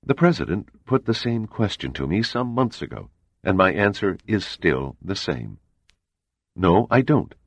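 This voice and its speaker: A man voicing a calm male intelligence official who speaks impassively and evasively. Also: sits low, dry recording, lo-fi sound, voice pushed up, heavily acted.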